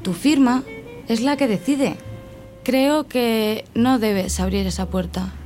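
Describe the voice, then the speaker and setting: A woman's voice: a little high, slow, darkish tone, faint music behind. A woman playing an assertive, persuasive wife in a Spanish audio drama. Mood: hesitant, concerned. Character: assertive, persuasive wife of a government decision-maker. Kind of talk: Spanish audio fiction acting.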